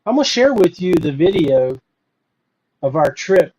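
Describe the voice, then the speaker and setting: A man's voice: fairly high pitch, big pitch swings, unhurried, a little mellow, rough recording. A middle-aged man hosting a fishing podcast, talking casually with lots of fillers and restarts. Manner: rambling, many fillers and restarts. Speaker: podcast host. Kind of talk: casual live podcast talk.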